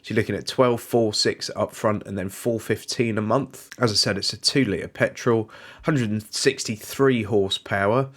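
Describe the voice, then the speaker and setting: A man's voice: on the low side, steady tone, moderate pace. A British man hosting a car leasing channel, chatting casually and reeling off prices and specs while slightly stuffed up from a cold. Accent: British English. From United Kingdom. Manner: chatty, informal, reels off figures. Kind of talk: casual review monologue.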